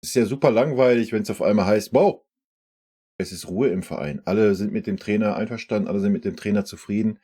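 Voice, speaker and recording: A man with a low, dark voice talks through a press conference clip as a fan. His delivery is casual and conversational, full of little fillers. The tone stays informal throughout. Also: fast talker, breathy edge. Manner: chatty, uses fillers like "ja" and "ne". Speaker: fan channel host reacting to a press conference. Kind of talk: casual reaction commentary.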